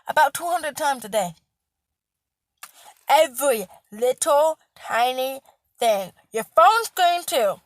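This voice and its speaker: A young woman speaks in a high voice that is hoarse and cracking. She rants bluntly and emphatically, repeating points and swearing as she lectures her viewers. The sound is dry and close, with no room around her. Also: fairly bright tone, dry recording. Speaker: healthcare worker. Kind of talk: casual vlog rant.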